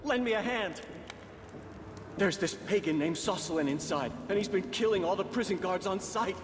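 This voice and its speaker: An actor playing a desperate, frightened prison guard pleading in a video game cutscene, in an echoey space. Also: male voice, sits high, moderate pace, noisy background, lightly acted. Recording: echoey hall, music behind.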